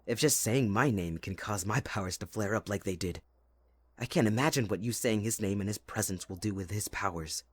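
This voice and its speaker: A man plays a playful, possessive young winter spirit in an intimate audio roleplay. The voice is husky and on the low side, recorded close and dry. He speaks straight to the listener in a teasing, theatrical way. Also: a little fast, no room sound, close to an everyday voice. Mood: uneasy, protective.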